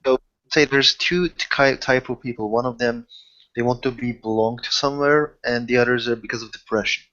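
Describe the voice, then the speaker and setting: A man's voice: medium pitch, fairly even intonation, fairly quick, a bit bright. Casual man, muffled lo-fi recording. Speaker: tabletop roleplaying player. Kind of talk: casual in-character chat.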